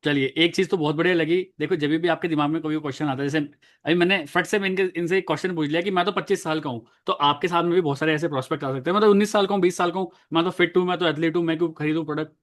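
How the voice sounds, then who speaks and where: A man's voice: on the high side, racing. A young man in his twenties who trains network marketers, playing a doubtful customer and then coaching viewers on how to answer them. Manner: animated, coaching the viewer directly. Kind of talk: role-play sales training.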